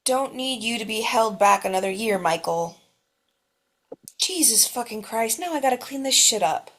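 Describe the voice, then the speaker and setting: A frazzled mother, read by a woman who curses and barks orders at the kids. Her voice sits fairly high and stays tense with hurry. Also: lively intonation, moderate pace, a bit bright. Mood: harried. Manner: harried, barking orders. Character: frazzled, foul-mouthed mother running late.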